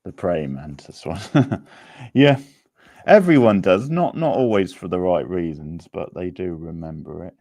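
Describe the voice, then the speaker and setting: A man's voice: deep voice, expressive, unhurried, warm tone, no echo, studio quality. A man hosting a casual quiz, chatting and answering participants as he reads out questions. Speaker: quiz host.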